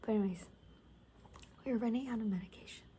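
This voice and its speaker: A woman playing a gossipy, rambling zombie apocalypse survivor in a soft-spoken, whispery ASMR roleplay, talking hesitantly and conspiratorially. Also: fairly high pitch, quick pace, a little hiss or hum, close to an everyday voice. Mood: rambling, distracted.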